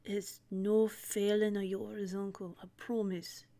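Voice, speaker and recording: A woman voicing a small male winged cartoon nephew, gentle and loyal, offering firm, folksy reassurance. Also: medium pitch, flat delivery, slow. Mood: gentle, reassuring.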